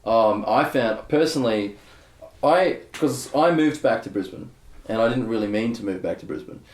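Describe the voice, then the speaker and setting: A young adult man, a bass player talking candidly in a casual interview, rambling with many fillers and restarts. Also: animated, normal speed. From Australia. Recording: echoey room.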